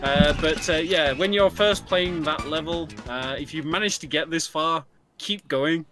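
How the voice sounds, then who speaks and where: A man giving casual live co-commentary on a speedrun, explaining in halting phrases and repeating words. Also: fairly high pitch. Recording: game music behind the voice. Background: video game music.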